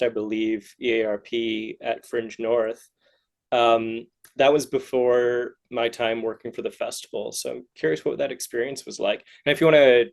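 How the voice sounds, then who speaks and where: A man's voice: mid-range voice, vocal fry. A man hosting a casual interview in an encouraging, affirming way. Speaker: podcast host, festival worker.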